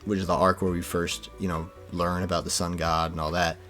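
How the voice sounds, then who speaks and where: Low male voice, casual podcast talk, hesitant explaining, faint music behind. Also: little pitch movement, fairly quick, fairly bright tone.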